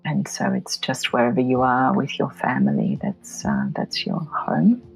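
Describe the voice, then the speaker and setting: A middle-aged woman from Poland, an interview guest talking reflectively in a soft, thoughtful way that often drifts into whispery asides. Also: a bass voice, sing-song, a little slow, vocal fry, faint music behind, low-quality recording. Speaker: interview guest, photomedia artist.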